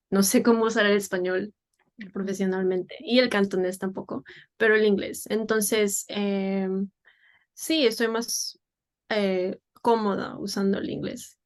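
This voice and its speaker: Slow, hesitant woman guest. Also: medium pitch, steady tone. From Peru. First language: Spanish. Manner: hesitant, many fillers and restarts. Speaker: guest, English teacher for children. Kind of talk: casual interview conversation.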